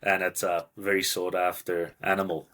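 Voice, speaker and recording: A man from South Africa with a South African accent, a podcast guest telling hunting stories in a relaxed, plain way. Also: low voice. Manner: relaxed, plain storytelling with small pauses. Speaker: guest hunter. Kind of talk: casual storytelling.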